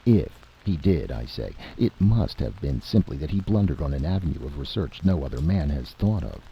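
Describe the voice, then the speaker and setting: A man voicing a sober, conciliatory male committee chairman, speaking formally and deliberately in a dramatic story. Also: extremely low pitch, very animated, highs cut off, a little hiss or hum, heavily acted.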